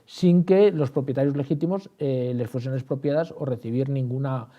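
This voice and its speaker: A man's voice: mid-range voice, moderate pace, warm tone. A man explaining as an interview guest, repeating words and answering his own questions. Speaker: historian and writer, interview guest. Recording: dull, band-limited sound.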